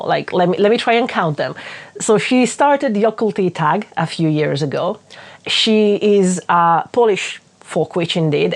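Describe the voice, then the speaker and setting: A woman's voice: lowish voice, gravelly. A woman from Northwest Italy with an Italian accent, chatting enthusiastically as she recommends other creators. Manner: enthusiastic, gushing, with frequent fillers and restarts. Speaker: witchcraft channel host. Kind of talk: casual recommendation vlog.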